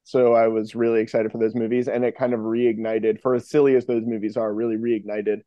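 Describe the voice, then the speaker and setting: A man's voice: mid-range voice, level tone, a little fast, dark tone, no echo, muffled recording. A man chatting casually as a podcast guest, with a jokey, self-mocking manner. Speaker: podcast guest, fiction podcast co-creator. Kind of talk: casual interview chat.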